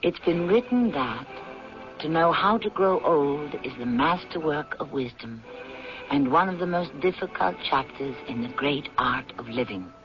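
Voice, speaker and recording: A male host narrates an old radio drama in a measured, reflective delivery. His voice is a little high, with faint music behind it and a muffled broadcast sound. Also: animated. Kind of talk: scripted narration.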